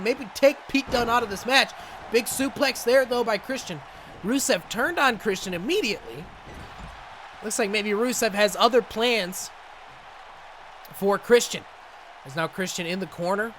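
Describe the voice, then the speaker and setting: A young man doing excitable play-by-play commentary over a wrestling video game, coughing and saying he is losing his voice. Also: high voice, slight background noise. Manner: excitable, rising to shouts at big moments. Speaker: gaming channel host and commentator.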